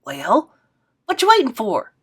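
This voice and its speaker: A woman's voice: a little high, wildly swooping pitch, measured pace, breathy edge, pitched above the performer's own voice, heavily acted. A woman doing a sassy old grandma. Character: spunky elderly grandmother, playful and scattered.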